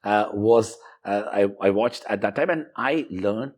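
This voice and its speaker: A middle-aged man from South India recalling his student years in a relaxed interview, reflective and fluent with frequent fillers. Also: fairly low pitch, unhurried. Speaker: interviewee, university professor. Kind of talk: conversational interview.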